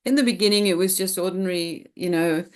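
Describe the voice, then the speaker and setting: A woman speaking as a panelist on an online webinar, answering in a thoughtful, unhurried way. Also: lowish voice. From Australia. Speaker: panelist, academic integrity officer. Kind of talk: panel discussion.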